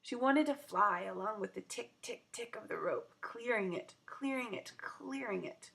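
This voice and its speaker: Woman, story narration, expressive, animated intonation, creaky voice. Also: medium pitch, moderate pace.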